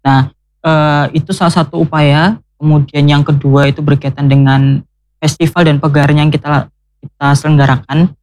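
A government official explains his office's work in a formal, halting manner. He pauses often on fillers, and his voice sits a little high for a man. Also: flat delivery, a little mellow, dry recording. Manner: formal, hesitant, many fillers. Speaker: regional tourism and culture official. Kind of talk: interview answer. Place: radio studio.

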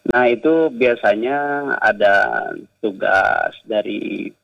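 A man's voice: on the low side, steady tone, slow, a bit bright, dull audio. A man with a Sumatran-tinged Indonesian accent, talking as an interview guest about his work as a writer and journalist, hesitant and full of fillers. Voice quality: gravelly, hesitant with many fillers. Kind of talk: casual interview talk.

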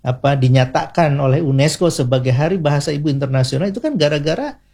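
An Indonesian man speaks as an expert guest in an interview. His voice is slightly high, with a soft, mellow tone and plenty of gravelly creak. He explains in a teacherly way, setting out points and adding small tag words as he goes. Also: animated, quick pace. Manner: didactic, enumerating points, often adds short tag particles.

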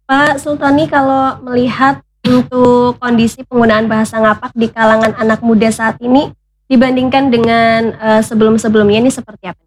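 A woman hosting a talk show, polite and steady, ending her phrases with tag questions. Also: fairly high pitch, normal speed, low-quality recording. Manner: polite, steady prompting, frequent "ya" tags. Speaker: host.

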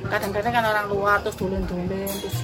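Woman, East Javanese accent, mid-range voice, matter-of-fact, loose casual phrasing, loud music under the interview. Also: mid-range voice, level tone, fast. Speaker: home caterer, interviewee.

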